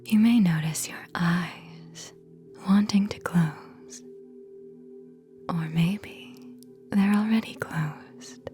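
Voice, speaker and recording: A woman guiding a whispered ASMR sleep meditation in a soothing, hypnotic manner, speaking in short phrases with long pauses. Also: fairly low pitch, mellow, faint music behind.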